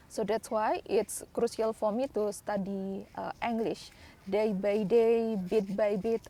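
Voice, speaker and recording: Woman, Indonesian accent, Javanese first language, young adult, slow careful delivery, hesitant with filler pauses. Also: medium pitch, a little mellow, slight background noise. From Indonesia (East Java). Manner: hesitant, many uh fillers, non-native grammar. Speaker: English learner. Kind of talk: personal vlog monologue.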